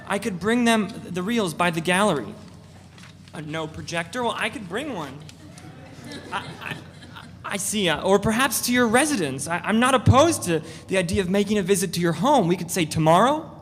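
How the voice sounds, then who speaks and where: A woman voicing an eager, oblivious male artist making a stammering, self-promoting pitch in a literary reading. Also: lively intonation, moderate pace, close to an everyday voice. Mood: eager, insistent. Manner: pushy, earnest, stammering sales pitch. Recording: echoey hall, noticeable noise.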